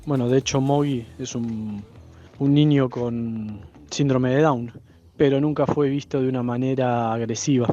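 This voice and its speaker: A man's voice: medium pitch, fairly even intonation, measured pace, warm tone, highs cut off. A man with an Argentine accent giving reflective interview talk.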